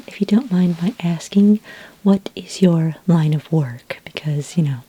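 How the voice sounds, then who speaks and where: A woman playing a patient, reassuring sci-fi skincare consultant in a soft-spoken, half-whispered ASMR roleplay, gentle and a little hesitant. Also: deep voice, slight background noise, low-quality recording. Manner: gentle, hesitant, unhurried, polite questioning.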